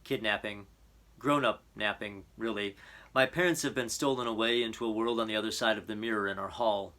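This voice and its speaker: Man reading as a serious little girl, bright voice. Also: lowish voice, fairly even intonation, brisk. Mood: earnest, serious. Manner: earnest, matter-of-fact, formal. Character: young girl, determined, serious, precociously formal. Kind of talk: storybook character voice.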